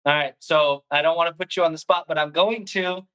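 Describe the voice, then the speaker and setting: A man hosting a podcast, energetic and hype-filled, often stumbling and restarting his sentences. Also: a little high, fairly quick, clear, resonant tone. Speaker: podcast host. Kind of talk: hyped show host intro and interview.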